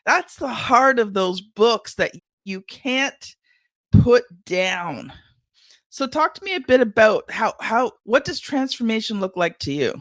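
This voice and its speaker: A woman's voice: on the high side, lively intonation, unhurried, no room sound. Dry-sounding woman podcast host. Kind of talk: interview hosting with ad read.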